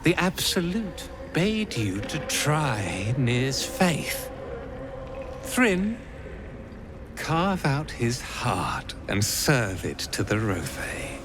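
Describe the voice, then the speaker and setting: A haughty game villain, speaking at a very slow, theatrical pace. His pitch swings widely as he lords it over others with cold cruelty. Music plays clearly behind him. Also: wildly swooping pitch, exaggerated voice. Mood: suspicious, imperious. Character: arrogant, cruel male drow cult slaver lord. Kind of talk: video game villain acting.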